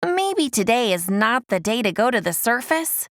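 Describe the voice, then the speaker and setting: A woman acts out an eager little boy fish for a children's storybook. He is earnest and easily worried, with a high-ish, animated voice. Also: moderate pace, no room sound, clean studio sound.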